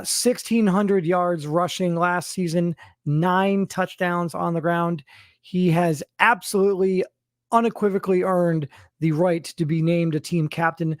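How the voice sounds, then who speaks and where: A man hosting as a sports team general manager, announcing to followers and stressing names and stats with deliberate emphasis. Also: high voice, darkish tone, no echo, clean studio sound.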